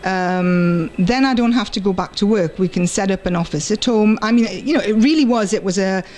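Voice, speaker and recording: British translator lady, middle-aged, lots of ums, talks it through slowly. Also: mid-range voice, a little mellow. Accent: British English. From United Kingdom. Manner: thoughtful, pauses often with fillers. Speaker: interviewee, freelance translator. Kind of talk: conference interview and personal story.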